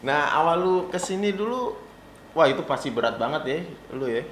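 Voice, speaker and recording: A man's voice: fairly high pitch, animated, moderate pace. A young man, hosting a casual chat in a slangy, joking way. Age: young adult. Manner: slangy, joking, loud greetings. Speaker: host. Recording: echoey room with noise.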